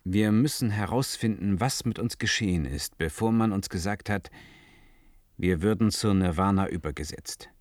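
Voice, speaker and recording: An actor playing an earnest, analytical scientist in a science fiction radio play, speaking in a deliberate, precise, insistent manner. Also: male voice, lowish voice, close to an everyday voice. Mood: insistent, reasoning.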